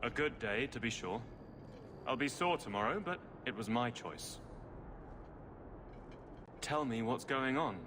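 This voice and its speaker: A man playing a courteous, good-natured guardsman in a video game, speaking in a measured way. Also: mid-range voice, lively intonation, noisy background, natural voice. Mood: friendly.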